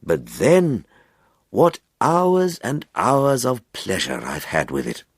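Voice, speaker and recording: A man's voice: medium pitch, wildly swooping pitch, takes it slowly, breathy edge, no echo, studio quality, pitched above the performer's own voice, heavily acted. Rapturous amateur stargazer, acted by a male reader, swooping expressively. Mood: proud, rapturous. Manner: rapturous, emphatic, lingering on words. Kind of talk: audiobook character dialogue.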